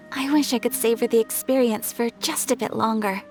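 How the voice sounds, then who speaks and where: A refined young noblewoman for a video game. Her voice is high and gentle, with polite, earnest, formal phrasing over soft background music. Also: steady tone, measured pace. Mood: gracious.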